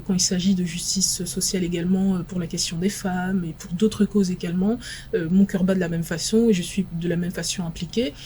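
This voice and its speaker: A woman expert guest on a televised panel debate, from France, answering in a measured, analytical way with frequent halting pauses. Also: fairly low pitch, dark tone, slight background noise. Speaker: guest expert in international relations.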